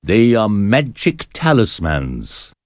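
A man's voice: on the low side, huge pitch swings, slow, no echo, studio quality, voice pushed up, heavily acted. A man voicing a commanding, emphatic astrologer and magician in a storybook. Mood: proud. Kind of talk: storybook character reading.

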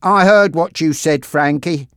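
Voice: mid-range voice, unhurried, no room sound, clean studio sound, voice pushed up, natural voice. A narrator voicing a brave, defiant young man under threat in an audiobook story, with a strained, stubborn manner.

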